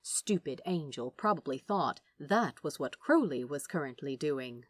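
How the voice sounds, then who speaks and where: A woman narrates a story with a lively, expressive delivery and wide pitch movement. Her recording is very dry, with no room sound, and her reading is dramatic and scripted. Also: measured pace, no room sound. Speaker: audiobook narrator. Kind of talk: scripted fan fiction narration.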